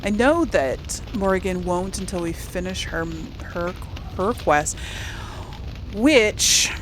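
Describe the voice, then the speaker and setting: A woman's voice: medium pitch, expressive, slow, over music, low-quality recording. A woman hosting a let's play, chatting casually to her viewers in an informal, playful way. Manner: chatty, addresses viewers, giggly asides. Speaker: gaming video host.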